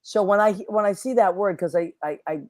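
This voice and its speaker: A woman hosting a casual online talk show, whose first language is Italian, chatting and giving friendly shout-outs with plenty of fillers. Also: mid-range voice, fairly quick. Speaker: host. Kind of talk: casual chat.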